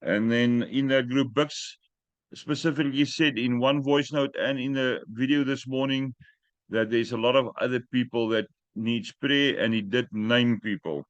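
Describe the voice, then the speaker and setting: A man hosting a group call in English, which is not his first language, talking in a direct, plain-spoken and sometimes halting way. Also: mid-range voice, fairly even intonation, dry recording. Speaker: host. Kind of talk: casual group call chat. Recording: dry muffled call audio.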